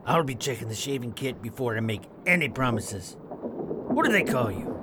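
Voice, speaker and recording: Against clear background noise comes a wily, seasoned pirate, acted by a man for an audio drama. He talks in rough, colloquial sailor dialect with a swagger. His pitch swings widely from line to line. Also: expressive, normal speed, close to an everyday voice. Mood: wary, bargaining. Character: rough, wily veteran pirate, Captain's trusted old hand.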